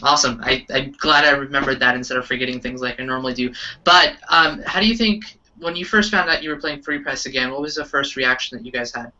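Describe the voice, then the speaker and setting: A man's voice: medium pitch, quick pace, sharp tone. A man hosting a casual interview, asking questions in a conversational way. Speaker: interviewer hosting a band hangout. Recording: distant, dull webcam-style sound.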